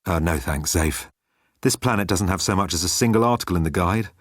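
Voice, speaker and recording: A man's voice: sits low, fairly quick, no room sound, clean studio sound. A man voicing an easygoing, pleasure-loving alien travel writer, casual and glib.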